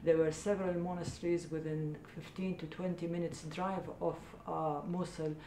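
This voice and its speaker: Low, gravelly woman in an echoey room. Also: flat delivery, normal speed, warm tone. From Iraq. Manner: hesitant, many uh fillers, earnest. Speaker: charity founder. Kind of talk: interview answers. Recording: echoey room with faint rumble.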